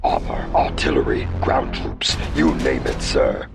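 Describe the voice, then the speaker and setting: A gruff alien soldier reports in a clipped military way. The voice is extremely low and thick with gravel, with loud music behind it. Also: male voice, big pitch swings, unhurried, exaggerated voice. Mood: urgent, dutiful. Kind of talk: dramatic fan audio drama acting.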